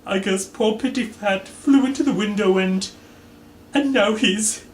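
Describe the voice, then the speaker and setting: Man putting on a high-pitched flustered housemaid. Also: measured pace. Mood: frightened. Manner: stammering, dramatic. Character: nervous, frightened housemaid woman. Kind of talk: storybook character reading. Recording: echoey room, faint background noise.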